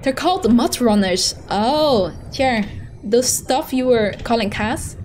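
A woman's voice: a little high, takes it slowly. Chatty Dutch woman streaming. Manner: chatty, reads chat aloud and teases her partner. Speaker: streamer and co-host. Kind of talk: casual live-stream commentary. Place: indoor room at a desk. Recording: steady background noise.

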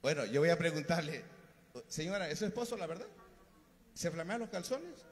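A man's voice: fairly high pitch, moderate pace. A man hosting a live outdoor concert, joking with contestants and announcing the band over a stage mic. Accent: Mexican Spanish. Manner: lively, joking, shouting into mic. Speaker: event emcee. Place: outdoor concert stage under a large roof. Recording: PA sound with long reverb.

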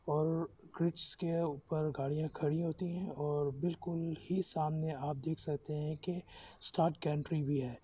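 High-pitched man vlogging over background noise. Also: low-quality recording. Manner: informal, mixes English terms into his talk. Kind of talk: casual first-person vlog commentary. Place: inside a car and outdoors cycling on a track. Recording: steady vehicle and outdoor background noise.

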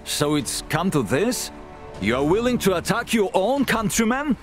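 An actor playing a stern, dutiful army commander in a video game, speaking formally and with indignant authority. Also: male voice, high-pitched, sing-song, moderate pace, soft background music. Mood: appalled. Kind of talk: dramatic video game voice acting.

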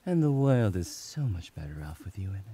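A man playing a deep, whispering devoted servant. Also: big pitch swings, moderate pace, breathy edge, heavily acted. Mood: doting. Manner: soft whispering, affectionate and soothing. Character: doting young male servant, devoted and tender. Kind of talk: ASMR roleplay.

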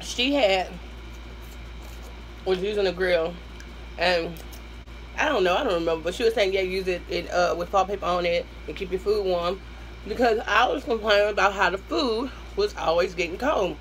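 A hoarse woman in her thirties with a Southern US accent, hosting an eating show from a small room at home, chatting to her viewers and humming between bites. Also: mid-range voice, normal speed, slight background noise, rough recording. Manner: chatty, addresses viewers as y'all, hums while eating. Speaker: mukbang host. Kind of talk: casual eating-show chat.

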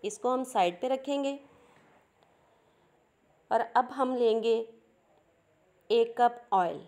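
Cooking host, woman, Pakistani Urdu accent, patient and plain, warm tone. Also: fairly high pitch, slow pace. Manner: patient, explains each step plainly. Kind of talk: step-by-step cooking instructions.

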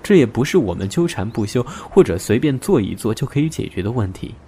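A man's voice: mid-range voice, lively intonation, quick pace, mellow, slight background noise, lightly acted. Reserved, wounded young man, played by an actor. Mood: resigned, wounded. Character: reserved, wounded young man setting terms to a former lover. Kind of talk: audio drama acting. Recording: music behind the voice. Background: music.

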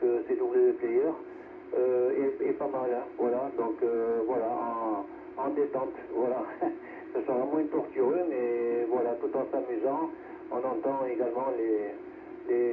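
Un homme radioamateur qui bavarde de façon décontractée, en hésitant et en répétant ses mots. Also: male voice, mid-range voice. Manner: halting, repetitive. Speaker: amateur radio operator. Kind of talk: casual chat over radio. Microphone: heard through a radio receiver speaker. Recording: narrowband radio with noise and reverberation.

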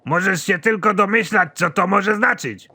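Brusque, bright-voiced cartoon general played by a man. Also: sits high, normal speed, breathy edge, full character voice. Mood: exasperated, insistent. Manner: blunt, sardonic, swearing. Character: foul-mouthed, exasperated army general, middle-aged man, absurdly pompous. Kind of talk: animated comedy series dialogue.

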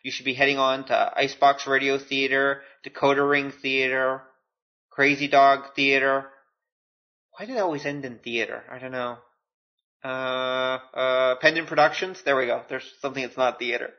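A man's voice: mid-range voice, steady tone, normal speed. Casual male podcaster, slightly muffled sound. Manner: informal, self-deprecating, joking asides. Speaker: podcast host. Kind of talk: casual solo podcast talk.

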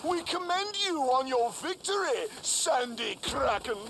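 A man's voice: extremely high pitch, big pitch swings, measured pace, gravelly, fairly bright tone, a little hiss or hum, heavily acted. A man playing a pompous mock king in a video game, speaking in grand, theatrical regal phrases. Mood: regal, grandiose. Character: pompous Dust King of a live-action roleplay realm. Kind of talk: video game character acting.

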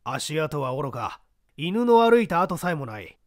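A man reading a mystery aloud, playing a seasoned older investigator who explains the case in a measured, testing way. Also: a little high, very animated, dry recording, studio quality. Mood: explaining.

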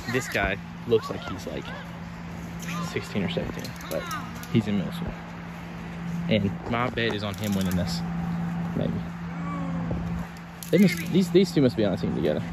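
A man filming a camp game on an open field, giving chatty, excited running commentary. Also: fairly low pitch, normal speed, long pauses, heavy background noise. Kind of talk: casual play-by-play commentary. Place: open grassy field outdoors. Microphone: phone camera held by the filmer.

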